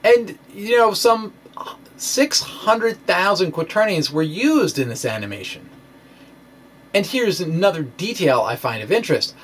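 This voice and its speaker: A man's voice: high voice, very animated, measured pace, husky. A man giving an informal science explainer in a folksy, conversational way with theatrical emphasis. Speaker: science blogger presenting own work. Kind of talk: informal explanatory lecture, dramatic reading of blog post.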